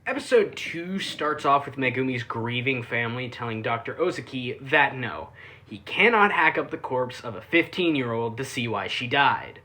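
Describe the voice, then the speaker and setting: Animated reviewer with sweeping intonation. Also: mid-range voice, normal speed. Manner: sarcastic asides and dry jokes. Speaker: anime reviewer, narrator. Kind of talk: casual scripted video review narration.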